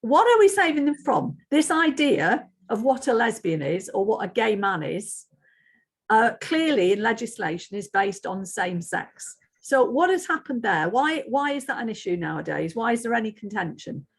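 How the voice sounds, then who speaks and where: A woman's voice: a little high, sing-song, moderate pace, slightly rough. A woman hosting an interview, attentive, asking questions and offering brief affirmations. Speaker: interviewer, host.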